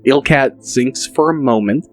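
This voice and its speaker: A man narrating a dramatic audiobook in a deliberate, measured manner. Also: medium pitch, faint music behind.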